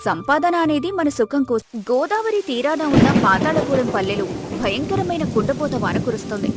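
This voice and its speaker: A woman's voice: sits high, lively intonation, moderate pace, over loud music. A woman narrator reading a scripted moral story.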